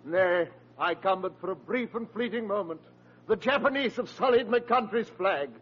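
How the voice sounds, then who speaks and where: An actor playing a pompous, shifty older uncle with florid, theatrical delivery in 1940s radio comedy style. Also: male voice, high voice, moderate pace. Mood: grandly theatrical. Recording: muffled husky vintage 1940s radio recording.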